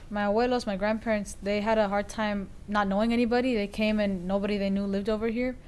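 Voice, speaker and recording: Fast-talking sixteen-year-old girl, flat tone. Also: mid-range voice, clear, resonant tone. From Oakland, California. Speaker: student interviewee. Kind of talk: documentary interview.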